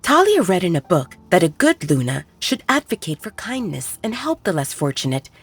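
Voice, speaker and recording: Expressive lower-voiced woman narrator. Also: moderate pace, breathy edge. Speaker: audiobook narrator. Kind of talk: dramatic scripted romance narration. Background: faint background music.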